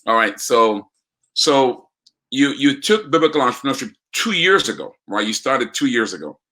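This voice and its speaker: A man's voice: mid-range voice, very animated, normal speed, pushed and bright. A man hosting a live podcast, asking a guest questions with upbeat enthusiasm. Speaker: podcast host.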